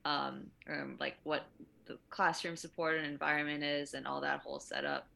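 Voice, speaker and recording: A woman's voice: low voice, flat delivery, moderate pace, creaky, bright, forceful tone. A woman hosting a podcast, talking in a casual, conversational way. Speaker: podcast host, dance teaching artist.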